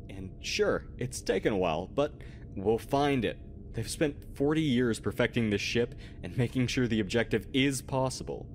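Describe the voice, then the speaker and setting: A man's voice: mid-range voice, lightly acted. A man playing a jovial, reassuring astronaut, talking casually in an audio roleplay drama. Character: upbeat, joking, reassuring male astronaut. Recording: faint noisy ambience.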